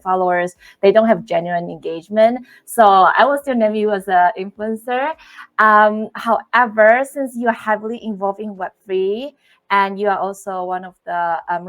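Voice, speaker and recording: Woman host from Taiwan, second-language English, chatty and upbeat, lots of "like" and "um". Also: mid-range voice. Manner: chatty, filler-heavy, upbeat. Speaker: live stream host. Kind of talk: casual interview chat.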